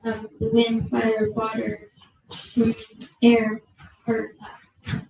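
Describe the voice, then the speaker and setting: A young woman giving a nervous museum presentation to an audience, reading from notes with many fillers and apologies, her soft low voice held away from a handheld mic indoors. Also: completely flat delivery, extremely slow, gravelly, slight background noise, poor audio. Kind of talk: reading prepared talk to a live audience. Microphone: handheld mic held at a distance.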